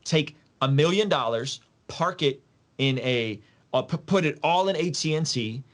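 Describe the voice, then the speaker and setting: A man's voice: fairly high pitch, expressive, measured pace. A man from Soviet Russia, a podcast guest explaining things in an emphatic, casual, slangy way, repeating phrases and posing rhetorical questions.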